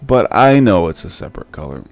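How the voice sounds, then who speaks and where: Low-voiced man casually explaining a craft build. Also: big pitch swings, brisk. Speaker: DIY prop maker and channel host. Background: music.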